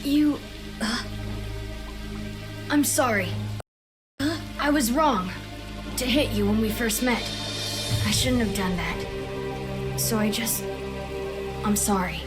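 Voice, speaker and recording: Casting: eager, blunt young Japanese boy, very high boyish pitch, anime drama, music behind. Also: slow, pauses often. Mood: apologetic, moved. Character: earnest, boisterous, good-hearted young Japanese boy. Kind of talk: dubbed anime audio drama acting.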